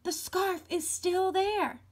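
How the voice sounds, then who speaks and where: A woman's voice: very high-pitched, fairly even intonation, takes it slowly, voice pushed up. A woman voicing a small, bold girl doll who leads the way in a decisive manner. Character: small living girl doll, bold leader, plain-spoken.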